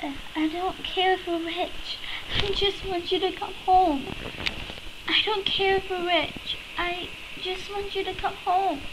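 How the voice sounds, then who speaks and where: A woman acts a tender, frightened little sister with a very high voice. She pleads slowly and emotionally in a drama audition. The mic buzzes and crackles clearly. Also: steady tone. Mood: tender pleading. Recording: crackly, buzzing built-in computer microphone.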